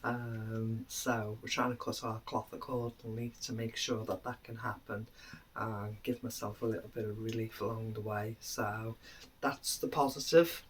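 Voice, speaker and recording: A British woman vlogging in a casual, chatty way to camera, a little snuffly from a cold, pausing often with "um" and letting her voice drop quietly at times. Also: very deep voice, fairly even intonation, slight background noise, rough recording. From United Kingdom. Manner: chatty, many "um" pauses, trailing off quietly.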